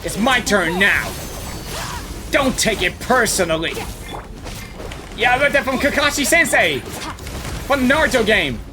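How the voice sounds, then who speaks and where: An excitable streamer giving casual live reactions to a game, often repeating phrases. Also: mid-range voice, a little slow, pauses often, over music. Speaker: gaming streamer.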